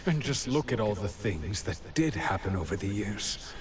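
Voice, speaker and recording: A man's voice: lowish voice, very animated, moderate pace, recorded from a distance, background noise. A man voicing a wistful older villager in a video game, reflective and subdued in manner. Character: wistful older male villager who lost his memories. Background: music.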